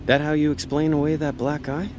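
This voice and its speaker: A man's voice: on the high side, little pitch movement, brisk, darkish tone, slight background noise, lightly acted. An actor playing a laid-back, cynical con man in a hardboiled noir radio play, with a wry, slangy, world-weary delivery. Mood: guarded, dismissive. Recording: radio drama with music underneath. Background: prominent background music.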